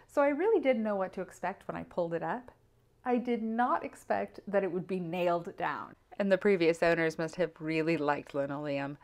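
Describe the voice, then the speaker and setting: Woman narrating a home renovation story. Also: medium pitch. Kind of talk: scripted voice-over narration.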